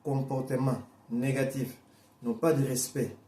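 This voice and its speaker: Emphatic preacher, dark echoey voice. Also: male voice, medium pitch, steady tone. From Portuguese-speaking Africa. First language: Portuguese. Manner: emphatic, repeats and restarts phrases, shifts between slow stress and quick bursts. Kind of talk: sermon.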